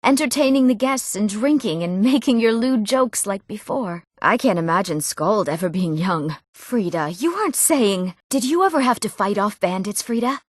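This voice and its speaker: A young woman voicing an eager village woman in a fantasy video game, speaking earnestly and clearly in scripted lines. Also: mid-range voice, lively intonation, normal speed, hardly any pauses, dry recording, clean studio sound, natural voice. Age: young adult.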